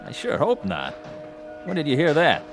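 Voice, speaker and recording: A man's voice: on the high side, huge pitch swings, moderate pace, creaky, exaggerated voice. A man playing a plain-spoken, unflustered New York bartender in a radio drama, laconic and matter-of-fact. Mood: unimpressed. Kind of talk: dramatized radio-play dialogue. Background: music.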